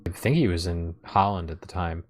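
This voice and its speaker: A man's voice: deep voice, a little fast, faint music behind. A man hosting a gameplay session, chatting casually with his companions and commenting. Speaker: host of gameplay series. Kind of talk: casual chat, game commentary.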